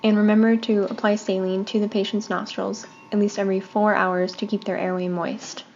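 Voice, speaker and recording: A woman gives a practical, step-by-step demonstration as a trainer. Her pitch sits in the middle range. A faint noise runs behind her. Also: flat delivery, brisk, gravelly, a little mellow, highs cut off. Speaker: equipment trainer.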